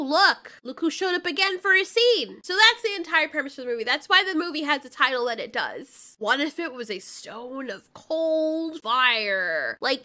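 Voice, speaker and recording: A young woman talks through an animated film in casual, sarcastic commentary. Her voice sits high and sounds bright and crisp. She chats along with an exasperated edge. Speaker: video reviewer.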